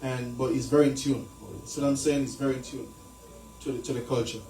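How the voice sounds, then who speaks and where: A man hosting a lively live stream, playful and repetitive, laughing often. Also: mid-range voice, fairly quick, a little hiss or hum. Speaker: show host. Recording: echoey room from far off with music.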